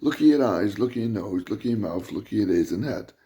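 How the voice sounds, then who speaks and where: A man with a loud voice, delivering an indignant, repetitive rant to camera as a vlogger. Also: lowish voice, animated, brisk. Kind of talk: ranting monologue to camera.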